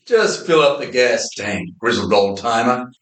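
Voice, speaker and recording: A cocky, blundering radio detective played by a man, breezy and a little hokey. His mid-pitched voice swings up and down, heard from a step back in an echoey, noisy recording. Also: animated, unhurried, close to an everyday voice. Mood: wry. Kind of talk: amateur old-time radio play acting. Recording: echoey, distant, noisy with mechanical rattle.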